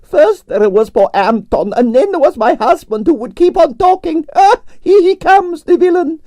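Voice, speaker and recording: A man voicing a flamboyant aging Russian ballerina with a Russian accent and broken English, in a high, swooping, theatrical voice for an audiobook. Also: lively intonation, moderate pace, mellow, no echo. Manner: broken English, grand declarations.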